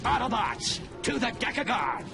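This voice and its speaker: An actor voicing a resolute robot commander in a video game, formal, stern and measured. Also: male voice, very high voice, sing-song, creaky, heavily acted. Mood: commanding. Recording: loud music under the voice.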